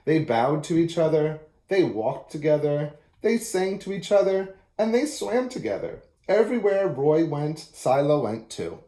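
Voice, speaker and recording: A man reads a children's picture book aloud in an expressive storytelling manner. His voice is fairly high and warm, with a mellow tone. The recording has a noticeable roomy echo. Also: a little slow, few pauses. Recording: echoey room.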